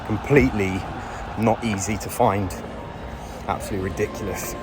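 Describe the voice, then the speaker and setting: Low-voiced grumbling man vlogging amid loud noise. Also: steady tone, moderate pace. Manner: grumbling, sardonic, informal and sweary. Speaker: travelling football fan vlogger. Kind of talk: casual handheld vlog commentary. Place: outdoors around and inside a large stadium. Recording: loud outdoor city and stadium noise.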